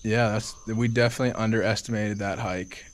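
A man's voice: fairly low pitch, fairly even intonation, a little fast. A man in his twenties chatting to an action camera while hiking a rainforest trail. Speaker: travel vlogger. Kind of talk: casual hiking vlog. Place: outdoors on a rainforest volcano trail. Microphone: action camera held at arm's length. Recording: faint outdoor noise.

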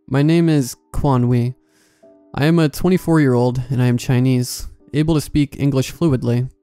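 A man giving a measured, calm, scripted narration of horror stories. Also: mid-range voice, smooth, no echo.

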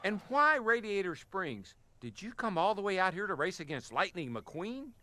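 A man's voice: high-pitched, sing-song, normal speed, pushed and bright, full character voice. A man playing an upbeat, showy race announcer car in a video game, with an American accent and polished delivery. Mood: inquisitive. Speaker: race commentator. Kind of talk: sports broadcast commentary in a game.

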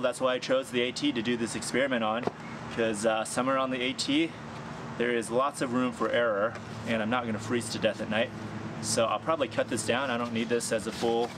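Man, 30s, casual chatty gear talk, mid-pitched voice, backyard with audible outdoor noise and traffic. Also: steady tone, a little fast. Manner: chatty, thinking aloud with fillers and small laughs. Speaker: hiking vlogger.

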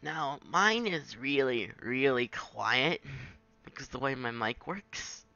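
A man giving a casual, improvised software tutorial in a halting, self-deprecating way on a home microphone. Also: medium pitch, lively intonation, gravelly, no echo, lo-fi sound. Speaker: tutorial maker. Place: at a home computer, screen recording.

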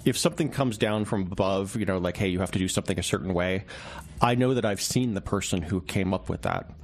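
Low-pitched man, measured and halting. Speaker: newspaper reporter, interview guest. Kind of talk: radio interview, casual and reflective.

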